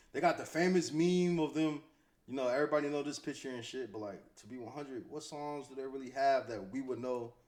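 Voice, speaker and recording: A man with an American accent giving casual, off-the-cuff commentary as a host, slangy and conversational, often repeating himself. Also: medium pitch. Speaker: host commentating on hip-hop news.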